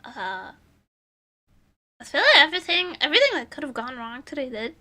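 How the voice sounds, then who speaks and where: A woman's voice: high-pitched, sing-song, fairly quick, sharp tone. A woman hosting a casual livestream chat, rambling and repeating herself in a playfully theatrical way, her voice strained from screaming and crying. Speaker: VTuber livestream host.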